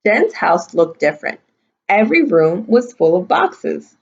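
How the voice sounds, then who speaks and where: A woman's voice: fairly low pitch, very animated, measured pace, gravelly, husky, muffled recording. A woman teacher reading a picture book aloud to young children, adding simple explanatory asides. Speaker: kindergarten and first grade teacher.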